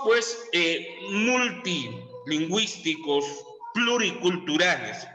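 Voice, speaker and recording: A man's voice: sits high, bright, forceful tone. A middle-aged Peruvian man lecturing a class in a chatty way, dropping lots of tag questions and fillers. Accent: Peruvian Spanish. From Peru. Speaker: teacher. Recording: echoey room with long reverb.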